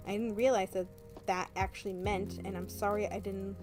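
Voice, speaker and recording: A woman's voice: mid-range voice, steady tone, normal speed, music in the background, natural voice. A woman reading the part of a grateful, earnest young man confessing his love in a romance story, in a heartfelt manner. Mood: tender, sincere. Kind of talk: romance novel read aloud.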